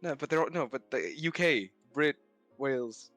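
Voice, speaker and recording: A man in casual group banter on a stream, joking and excitable. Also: mid-range voice, normal speed, dry recording, soft background music. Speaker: voice-reading co-streamer.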